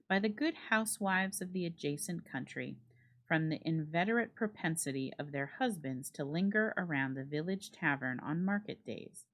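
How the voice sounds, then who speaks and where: A woman reads a literary story aloud as a dramatic narrator, with measured, expressive phrasing. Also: deep voice. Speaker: library staff reader and host.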